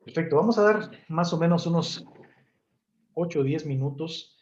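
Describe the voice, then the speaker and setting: A man's voice: a little high, moderate pace, highs cut off. Polite male meeting facilitator. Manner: polite, filler-heavy, directing participants. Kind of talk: moderating an online consultation.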